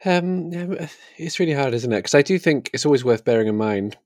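A man's voice: medium pitch, expressive, fairly quick, darkish tone, no room sound, clean studio sound. A man co-hosting a casual book discussion, hesitant and wry as he questions his own thinking. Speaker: podcast co-host.

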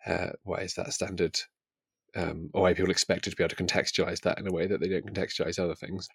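A man's voice: low voice, flat delivery, fast talker, gravelly, a bit bright, husky, no echo, clean studio sound. A man co-hosting a casual book discussion, hesitant and wry as he questions his own thinking. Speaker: podcast co-host.

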